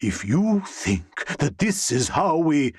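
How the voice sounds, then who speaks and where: A man's voice: on the high side, very animated, takes it slowly, slightly rough, dry recording, pitched above the performer's own voice, heavily acted. Male narrator as female manager, flustered, easily cowed, scolding, audiobook character, dry recording. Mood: angry.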